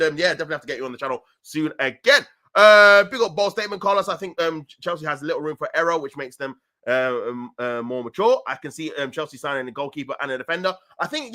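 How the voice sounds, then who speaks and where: A London man talks very quickly in a slightly high voice, hosting a casual football livestream. He answers his chat in an animated, slangy style, landing his points with emphasis. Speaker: football fan channel livestream host. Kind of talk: casual live chat, reading and answering viewer comments.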